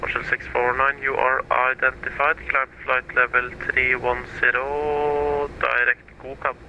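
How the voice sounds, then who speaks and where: A man's voice: mid-range voice, flat delivery, a little slow. A man working as an air traffic controller, giving clipped, formal procedural instructions over aviation radio. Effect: aviation radio transmission. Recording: muffled, noisy aviation radio channel.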